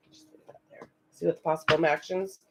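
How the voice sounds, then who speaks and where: This is a woman giving a live psychic card reading on a stream. Her voice is raspy, and she speaks in a loose, chatty way, often stopping to answer viewers in the chat. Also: sits low, fairly even intonation, a little fast, very noisy. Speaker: psychic reader.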